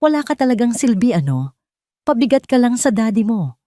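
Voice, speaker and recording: A woman voicing a cruel, irritable rich woman in an audio drama, shrill and scornful as she berates in mixed Tagalog and English. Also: big pitch swings, moderate pace, dry recording, studio quality. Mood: furious. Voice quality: shrill, sharp.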